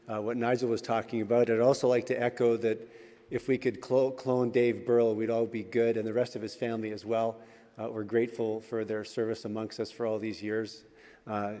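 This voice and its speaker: A man's voice: mid-range voice, a little mellow. Chatty man preaching in an echoing hall. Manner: chatty, with frequent fillers. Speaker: church elder, preacher. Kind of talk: informal sermon with anecdotes. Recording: reverberant church hall.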